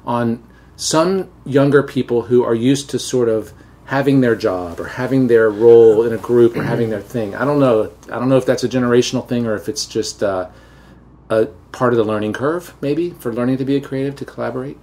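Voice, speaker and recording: A man's voice: mid-range voice, fairly quick. Chatty American man hosting an interview. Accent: American Southern. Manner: relaxed, chatty, tells anecdotes. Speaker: podcast host and teacher. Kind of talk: casual conversational interview.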